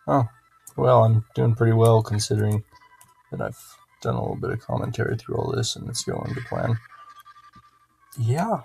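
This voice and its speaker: A man's voice: fairly low pitch, flat delivery, brisk, vocal fry, a little mellow, husky, rough recording. A man giving casual, rambling gameplay commentary, swearing and muttering to himself as he plays. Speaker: gaming video host. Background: video game music.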